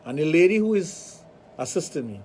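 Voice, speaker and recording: A man with a Trinidadian accent, hosting a radio talk show and telling animated stories with acted-out dialogue. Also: a little high, moderate pace, a little hiss or hum. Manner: animated storytelling, acts out dialogue. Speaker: radio show host, sexologist.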